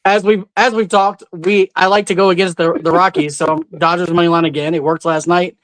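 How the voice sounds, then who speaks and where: A man's voice: high-pitched, little pitch movement, fairly quick, fairly bright tone, no room sound, rough recording. A man co-hosting a sports betting podcast, casually walking through his picks with stats. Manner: laid-back, explains picks with stats.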